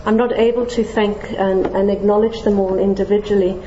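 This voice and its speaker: Mellow middle-aged woman lecturing in an echoey hall. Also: medium pitch, little pitch movement, fairly quick, highs cut off, a little hiss or hum. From Zambia. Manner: friendly, with light self-deprecating humor and many fillers. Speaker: scientist giving a public lecture. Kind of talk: academic lecture to a general audience. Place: lecture hall before an audience.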